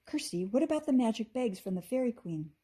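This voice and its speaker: A woman's voice: a little high, animated, brisk, dark tone, husky, pitched above the performer's own voice. A woman voicing a caring, earnest young girl in a storybook, speaking eagerly. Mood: excited.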